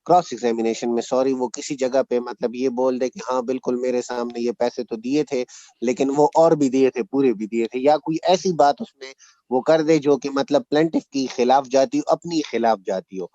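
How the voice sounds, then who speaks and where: A man's voice: mid-range voice, normal speed, dark tone, no room sound. A man answering in class as a student, explaining at length and repeating himself. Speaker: law student. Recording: slightly dull lo-fi sound.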